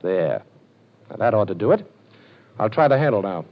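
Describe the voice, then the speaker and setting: A man playing a calm, dryly amused detective with an ex-con past in a vintage radio drama. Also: fairly low pitch, normal speed. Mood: cool. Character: cool wry ex-con detective, male adult, posing. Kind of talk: 1940s radio acting. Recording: dull old radio broadcast.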